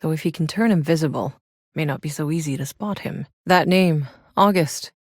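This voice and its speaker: Low-voiced, tough female sci-fi soldier. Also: normal speed, dry recording, studio quality. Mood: wry. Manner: gruff, sardonic, casual swagger. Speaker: video game voice actor. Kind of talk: video game voice lines.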